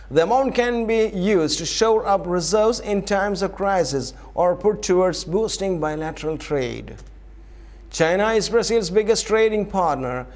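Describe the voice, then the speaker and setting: High-pitched male news reader. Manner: formal, announcer-like delivery. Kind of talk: scripted news bulletin reading.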